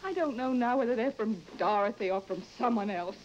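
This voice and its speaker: An actress playing an anxious, devoted and insistent wife in an old-time radio horror drama, pleading in an earnest, dramatic manner. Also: female voice, a little high, a little fast, lightly acted. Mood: hurt, pleading. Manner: earnest, dramatic, urging with broken, repeated phrases. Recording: dull, noisy old radio broadcast recording.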